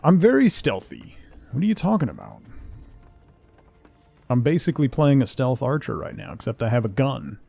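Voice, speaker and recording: A man's voice: medium pitch, wildly swooping pitch, moderate pace, gravelly, long pauses, darkish tone, husky, soft background music. A man giving casual live game commentary, playfully muttering asides and short exclamations as he chats to viewers. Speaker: game streamer.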